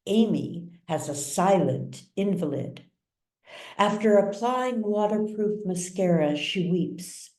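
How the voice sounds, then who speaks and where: A woman author reading her novel as dramatic literary narration, with measured, deliberate phrasing. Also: lowish voice, mellow. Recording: roomy echo, distant mic.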